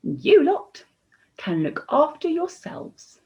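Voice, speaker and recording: A woman's voice: high voice, big pitch swings, takes it slowly, lo-fi sound, voice pushed up, full character voice. A woman voicing a tough, independent young princess in a storybook, speaking firmly and bluntly. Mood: determined. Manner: firm, blunt.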